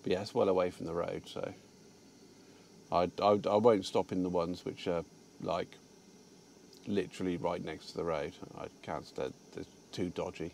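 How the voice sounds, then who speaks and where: A man's voice: deep voice, flat delivery, moderate pace, gravelly, a little mellow, slight background noise. A man chatting casually about his day while parked in his truck cab, rambling with fillers and restarts. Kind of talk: casual vlog chat.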